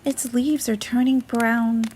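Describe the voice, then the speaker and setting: A woman's voice: fairly high pitch, flat delivery, slow pace, darkish tone, a little hiss or hum, voice pushed up. A woman voicing an earnest, worried young male fox cub who pleads and protects in a storybook reading.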